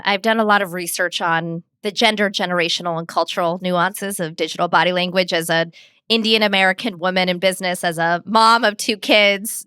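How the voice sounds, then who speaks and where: A middle-aged woman speaks in a smooth, resonant voice at a mid pitch, laying out her advice point by point with ease. Her delivery is confident and explanatory, and the sound is close and dry. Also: pushed and bright, no room sound. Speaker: communications expert guest. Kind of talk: interview answers, advice with examples.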